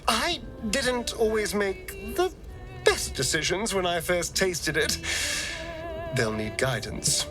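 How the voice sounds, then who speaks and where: Slow, theatrical, lilting male vampire. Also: high voice, sing-song, full character voice. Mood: wistful, reflective. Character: theatrical male vampire newly freed from his master. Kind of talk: dramatic video game voice acting. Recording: slightly distant with music underneath.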